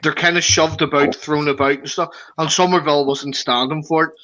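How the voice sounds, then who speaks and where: A man with a Belfast accent chatting as a podcast guest, lively and laughing as he talks. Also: fairly high pitch, a little fast, highs cut off. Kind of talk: casual chat.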